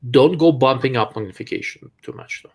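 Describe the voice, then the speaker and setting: A man's voice: mid-range voice, lively intonation, a little fast, creaky. A man with a Russian accent hosts a live Q&A, answering viewer questions in a blunt, dryly sarcastic way. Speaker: host. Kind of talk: live-stream chat and Q&A.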